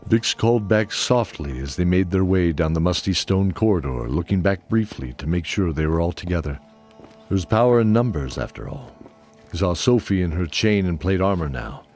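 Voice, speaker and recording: A middle-aged man hosting and narrating an audio drama in a measured, deliberate way with long pauses. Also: sits low, a little mellow. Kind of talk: sincere spoken introduction, then scripted fantasy narration. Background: new-age music.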